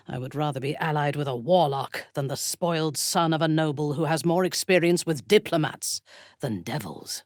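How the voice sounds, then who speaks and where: An actress playing a ruthless, haughty female warrior from the Underdark in a video game, speaking in a measured, imperious and menacing way. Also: low voice, dry recording, studio quality, natural voice. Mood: haughty, scornful. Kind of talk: video game character voice lines.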